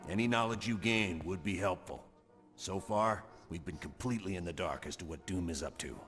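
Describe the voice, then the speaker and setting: An actor playing a calm, authoritative commander briefing a superhero team in a game. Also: male voice, low voice. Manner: measured briefing. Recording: long reverb with music.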